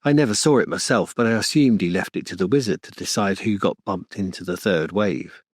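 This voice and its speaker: A man's voice: sits low, a little fast, slightly rough, no echo, studio quality. A man playing a guarded storybook character giving careful testimony in an audio drama.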